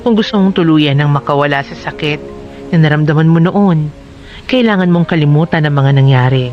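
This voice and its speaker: Higher-voiced man reading a heartfelt letter. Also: fairly quick, dull audio, soft background music. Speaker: radio drama host reading a letter. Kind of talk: heartfelt scripted narration.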